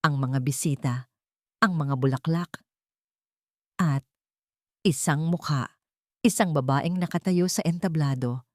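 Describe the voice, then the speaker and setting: A woman narrating a scripted love story in a dramatic, measured storytelling manner. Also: sits low, no echo, clean studio sound.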